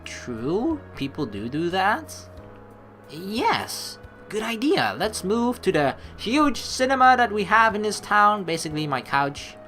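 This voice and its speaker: A woman's voice: medium pitch, sing-song, slow, a bit bright. A woman streamer reading a game's text aloud and adding casual, teasing asides of her own. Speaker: let's play streamer. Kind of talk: reading game text aloud with playful commentary. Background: game music.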